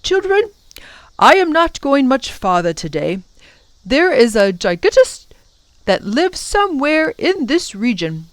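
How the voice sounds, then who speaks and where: A woman's voice: high-pitched, big pitch swings, a little slow, dry recording, voice pushed up. Lady doing a kindly old professor in a kids book, easily flustered, explains everything, voice goes up and down. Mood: cautionary. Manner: oh-and-ah exclamations, explanatory, donnish.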